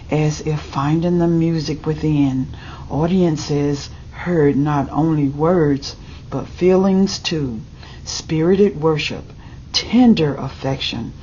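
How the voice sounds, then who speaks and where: A woman reading a children's picture book aloud in a measured, deliberate way, as a storybook reader. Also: low voice, mellow. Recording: dull lo-fi audio with background noise.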